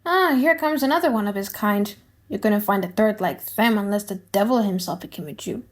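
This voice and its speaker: A woman voicing a gossipy, mocking young Venetian gentleman in a dramatic audiobook play, with a chatty, teasing manner. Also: medium pitch, brisk, pitched below the performer's own voice, natural voice. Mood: scornful.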